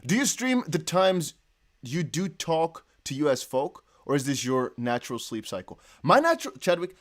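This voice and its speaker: Excitable Dutch-accented man streaming. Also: high voice. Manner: excitable, reads chat aloud, bursts into whoops. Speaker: crypto livestream host. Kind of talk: casual livestream chat.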